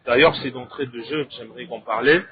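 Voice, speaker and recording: A man's voice: medium pitch, fast. A man with a Congolese accent giving his opinions in a conversational debate. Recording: thin, phone-like narrow sound.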